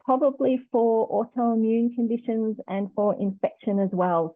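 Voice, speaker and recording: A woman's voice: lowish voice, no room sound. A woman with an Australian accent, explaining conversationally as an expert guest on a radio phone-in, over a muffled phone-like line.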